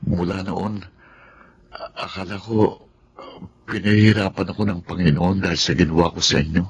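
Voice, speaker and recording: A man's voice: low voice, fairly even intonation, normal speed, highs cut off, heavily acted. An actor playing a remorseful, earnest husband and father in a radio drama, speaking hesitantly with a Filipino accent. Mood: guilty, hesitant. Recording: clear background noise and ambience.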